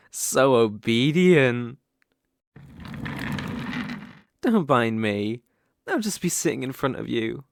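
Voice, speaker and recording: A man's voice: mid-range voice, lively intonation, gravelly, dry recording, clean studio sound. A British man acting a dominant, teasing, possessive young bully in love in an intimate ASMR roleplay. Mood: smug, teasing. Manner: slow, teasing, commanding.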